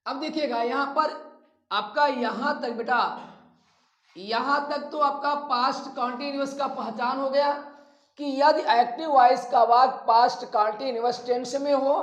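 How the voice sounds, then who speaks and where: A man teaching a grammar lesson step by step, repeating phrases deliberately and addressing his students directly. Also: very high-pitched, a bit bright. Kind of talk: classroom lesson, explaining rules step by step. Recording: echoey, roomy sound.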